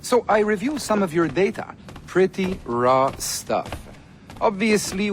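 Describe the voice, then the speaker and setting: An actor playing a breezy, flippant corporate boss in a game, informal, with a Québécois French accent. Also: male voice, a little high, a little hiss or hum. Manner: smooth-talking, informal, slips into French. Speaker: company executive. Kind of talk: scripted game dialogue in casual office chat.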